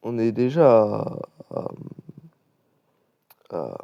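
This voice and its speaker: A man's voice: on the low side, huge pitch swings, drawn-out words, creaky, dull audio. A young man chatting casually over a racing game, rambling with many fillers and restarts. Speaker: gaming channel host. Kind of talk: casual gameplay commentary.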